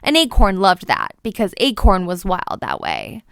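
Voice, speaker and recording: A woman's voice: mid-range voice, huge pitch swings, measured pace, gravelly, a bit bright, clean tone, no echo, studio quality. A woman narrating a children's storybook expressively, with playful, dramatic emphasis. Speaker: children's book read-aloud presenter.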